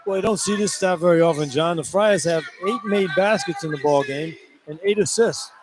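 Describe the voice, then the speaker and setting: Male basketball radio analyst, higher-pitched, folksy and colloquial, noisy arena broadcast. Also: moderate pace. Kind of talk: informal basketball color commentary. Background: clear arena noise.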